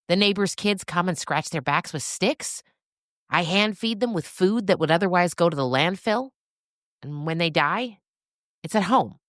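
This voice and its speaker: A woman's voice: lowish voice, fairly bright tone, no room sound, pitched above the performer's own voice. Female reader as straight-talking woman smallholder, heartfelt and earnest, audio story, dry recording. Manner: frank, heartfelt. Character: frank, earnest adult woman goat-and-pig farmer.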